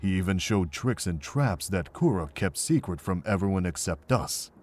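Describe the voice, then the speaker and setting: A man acts out an older monk with a deep voice, weary but kindly. He tells of the past in a measured, earnest way, as in a fan visual novel. Faint music plays behind him. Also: expressive, darkish tone. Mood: grave, earnest.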